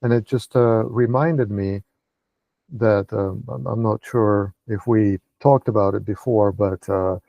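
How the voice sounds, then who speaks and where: A man's voice: lowish voice, steady tone, a little slow, creaky, no room sound, muffled recording. A man from Russia hosting an interview, asking long questions full of hesitant fillers. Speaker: interviewer, podcast host. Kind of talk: casual interview conversation.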